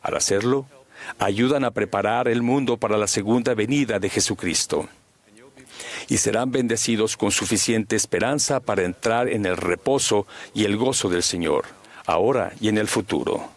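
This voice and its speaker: Brief: male interpreter, low pitch, calm reverent sermon delivery. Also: normal speed.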